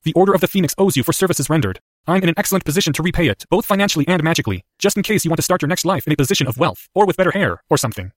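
A man voicing an earnest, halting young boy wizard. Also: fairly high pitch, expressive, dry recording, studio quality, pitched above the performer's own voice, close to an everyday voice.

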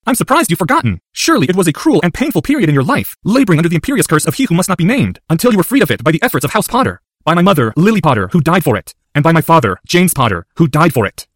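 A man voicing a defiant, sharp-tongued boy wizard in an audiobook, speaking formally and forcefully. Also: fairly high pitch, very animated, racing, hardly any pauses, no echo, studio quality, pitched above the performer's own voice. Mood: cutting, defiant.

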